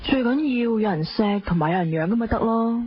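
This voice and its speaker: Spoiled young Hong Kong wife, muffled recording. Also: female voice, fairly high pitch, lively intonation, fairly quick, slightly rough. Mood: breezy, candid. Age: young adult. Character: spoiled, playful young wife in her twenties, frankly self-centred. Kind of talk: radio drama acting, first-person narration. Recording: dull lo-fi audio with faint noise.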